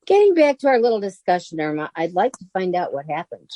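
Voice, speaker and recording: A woman's voice: lowish voice, sing-song, quick pace, warm tone, dry recording. A woman playing a sensible, exasperated young roommate in a 1940s-style radio comedy. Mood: exasperated patience.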